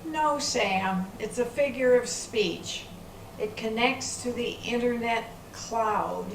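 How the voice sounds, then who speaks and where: A confident know-it-all grandmother, acted by a woman on stage, gives slow instructions at a middle pitch. The recording is distant, with light background noise. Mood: bossy, assured. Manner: instructive, assured. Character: confident, bossy grandparent, self-styled tech expert. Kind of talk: amateur readers theater. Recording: echoey room from far off, faint noise.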